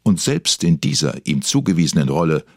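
A man's voice: a bass voice, very animated, a little fast, gravelly, a little mellow, no echo, studio quality, full character voice. A man narrating a mock celebrity documentary as a solemn, scripted storyteller. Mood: deadpan solemn.

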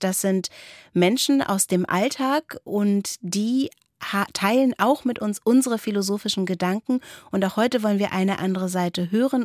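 A woman co-hosting a podcast in reflective conversation, building her thoughts in measured short phrases. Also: medium pitch, darkish tone, no room sound, studio quality. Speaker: podcast co-host.

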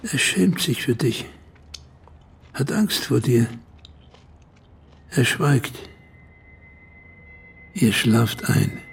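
An actor narrating a literary radio drama as a brooding, intimate storyteller, terse and solemn, with a gravelly voice. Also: male voice, mid-range voice, expressive, very slow, pauses often, exaggerated voice. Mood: somber, detached. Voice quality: gravelly, creaky. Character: brooding second-person narrator, intimate and fatalistic.

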